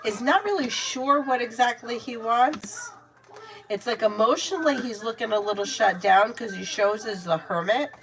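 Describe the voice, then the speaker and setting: A woman's voice: a little high, lively intonation, moderate pace, background noise. A woman giving tarot readings on a casual livestream, chatting candidly, swearing freely and calling viewers by name. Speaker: tarot reader answering viewer questions.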